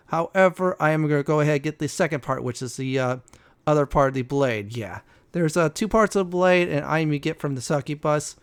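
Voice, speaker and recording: A man hosting a casual video game walkthrough, often pausing mid-sentence before key words. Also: on the high side, smooth. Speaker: gaming video host.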